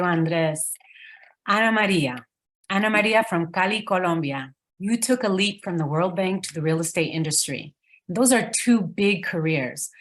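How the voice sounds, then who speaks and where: A woman moderating a webinar panel, introducing each guest slowly. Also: on the low side. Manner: slow, warm introductions. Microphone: video call.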